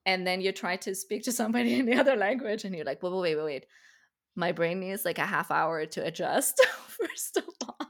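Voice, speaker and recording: A woman's voice: fairly low pitch, a little fast, fairly bright tone. A woman whose first language is Farsi, hosting a casual conversation and talking thoughtfully with light laughs. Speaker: podcast host.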